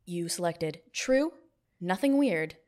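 A woman podcast host running a mock-stern job interview in a deadpan manner, recorded in an echoey new studio. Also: on the low side, slow pace.

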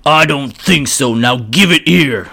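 A man voicing a huge, angry male orc boss who speaks slowly in gruff orc slang. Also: medium pitch, bright, forceful tone, voice pushed up, exaggerated voice.

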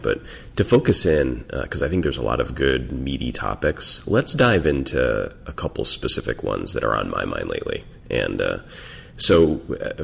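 A man hosting a podcast interview, asking questions in a relaxed, conversational way. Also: rumbling low, creaky, slightly rough. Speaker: podcast host. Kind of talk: casual interview.

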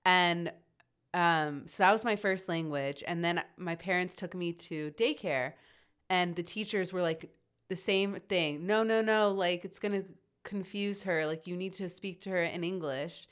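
Smooth-voiced woman who stutters. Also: fairly low pitch, a bit bright. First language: Hebrew. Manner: stutters, light and laughing. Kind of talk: casual group chat.

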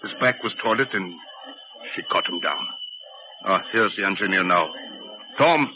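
A man's voice: low-pitched, animated, normal speed. An actor playing a sombre, respectful working railwayman, plain-spoken and deferential, in a 1940s radio drama. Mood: grave, explaining. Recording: muffled, very noisy 1940s radio recording.

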